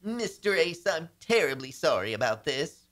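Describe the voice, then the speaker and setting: A man's voice: fairly high pitch, sing-song, normal speed, fairly bright tone. An actor playing a flustered, henpecked married businessman in a radio comedy, pleading in a melodramatic, agitated way. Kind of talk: old-time radio comedy acting.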